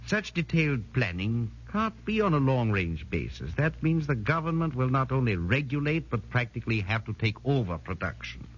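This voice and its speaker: An actor playing an earnest foreign professor who lectures formally and emphatically in a 1940s radio drama. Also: male voice, mid-range voice, sing-song, moderate pace, hardly any pauses. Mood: emphatic, lecturing. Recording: muffled 1940s radio broadcast.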